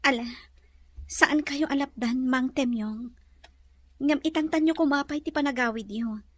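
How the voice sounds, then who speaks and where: A woman playing a kind, gracious lady of a mansion in a Filipino audio drama, speaking gently and earnestly with a Filipino accent. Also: high-pitched, unhurried, no echo. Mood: kind, insistent.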